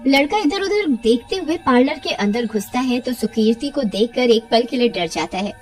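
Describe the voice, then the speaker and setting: A woman storyteller with a high-pitched voice narrates a scripted tale. Faint music plays behind her. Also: animated, normal speed.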